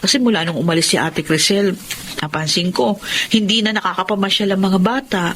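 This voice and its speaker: A concerned, moralizing younger sister in a Filipino radio drama, played by a woman. Her voice is bright and forceful at a middle pitch, with a Filipino accent and faint noise in the recording. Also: brisk, lightly acted. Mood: caring, casual. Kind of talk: Tagalog radio drama acting.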